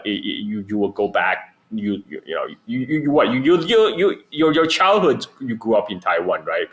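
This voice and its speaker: A man's voice: on the low side, a bit bright. A man in his forties from China, a podcast guest chatting over a video call, rambling with many restarts. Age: 40s. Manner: rambling, lots of restarts and fillers. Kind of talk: casual chat.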